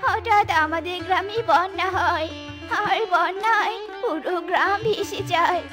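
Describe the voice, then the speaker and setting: A helpless old granny from an animal cartoon, with an extremely high voice. She speaks in an imploring, rustic manner, full of plaintive weight. Prominent music plays behind her. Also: a little slow. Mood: weary pleading. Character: helpless, pleading old village woman, an animal. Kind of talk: cartoon voice acting.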